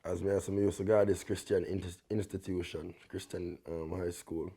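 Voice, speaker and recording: A young man with a Jamaican accent speaks in a very low, dark, mellow bass. He talks in a laid-back way, in patois, as a guest artist on an interview podcast, checking in with his listener at the end of his sentences. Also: flat delivery, moderate pace, husky. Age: young adult. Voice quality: deep bass voice. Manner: laid-back, peppers talk with "you see me?".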